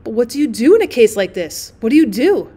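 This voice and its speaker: A woman voicing a jocular traveling salesman who grows anxious, talking loudly and high. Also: very animated. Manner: chatty, quick to grow anxious.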